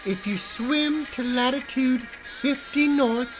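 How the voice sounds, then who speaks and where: A man voicing a small, shrewd, polite talking fish in a small squeaky storybook voice. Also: slow, over music, low-quality recording. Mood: sly, polite.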